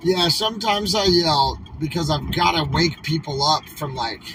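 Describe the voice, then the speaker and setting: A man's voice: fairly high pitch, moderate pace. A man livestreaming from a moving vehicle in casual talk, rambling and emphatic with lots of "like" fillers. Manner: rambling, emphatic, heavy use of "like". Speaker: convoy livestreamer. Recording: distant mic, clear road noise.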